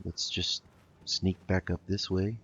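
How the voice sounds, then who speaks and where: A man's voice: deep voice, flat delivery, slow, fairly bright tone, husky, lo-fi sound. A man giving casual video game commentary, thinking aloud in a hesitant way as he plays. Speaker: host of a video game roleplay let's play.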